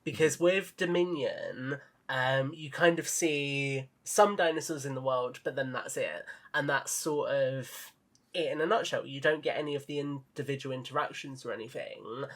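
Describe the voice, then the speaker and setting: A man's voice: medium pitch, normal speed, fairly bright tone. A man talking as a podcast guest, explaining his ideas earnestly and with enthusiasm in casual conversation. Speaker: podcast guest and audio drama creator.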